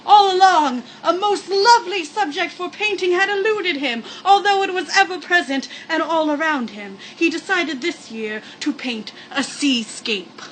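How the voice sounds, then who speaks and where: A woman's voice: very high-pitched, measured pace, few pauses, fairly bright tone, slight background noise, low-quality recording. A woman reading a story aloud in a sarcastic, mock-dramatic comic manner, with joking asides. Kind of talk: comedic read-aloud with mock-spooky asides.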